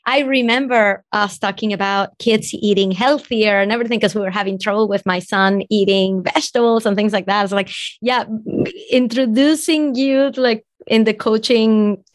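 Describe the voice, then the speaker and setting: A woman's voice: medium pitch, normal speed, no echo. A woman in her thirties from Venezuela with a Venezuelan Spanish accent, a business coach chatting as a guest and telling her story. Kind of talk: casual interview chat.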